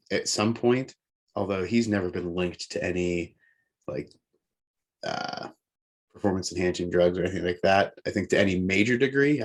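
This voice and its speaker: A man co-hosting a casual sports show, giving his opinions while thinking aloud. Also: lowish voice. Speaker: co-host of a sports talk show.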